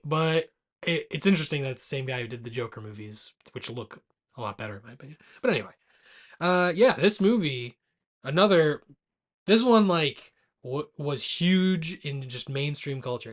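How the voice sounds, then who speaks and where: Man, late twenties, podcast co-host, medium-pitched, informal, joking, loose movie chat, having drinks. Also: brisk. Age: late 20s. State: drinking alcohol. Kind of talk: casual movie discussion.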